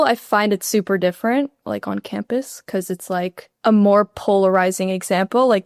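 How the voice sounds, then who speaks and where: A woman's voice: mid-range voice, darkish tone, no room sound, clean studio sound. A young woman from Canada, a university student, answering interview questions in a chatty way with lots of "like.". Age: young adult. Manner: chatty, frequent "like" fillers.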